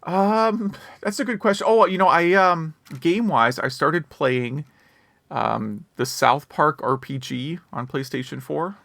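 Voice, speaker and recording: Wry, rambling man with creaky voice. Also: a little high, measured pace, a bit bright, no echo. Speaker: podcast co-host. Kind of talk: casual chat about video games.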